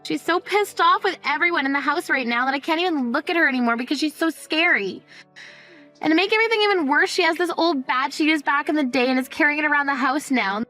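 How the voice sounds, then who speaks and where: A woman's voice: high voice, quick pace, bright, forceful tone, soft background music, voice pushed up. A woman playing a frightened teenage daughter, whiny and pleading, in a dramatized story. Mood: alarmed, tattling.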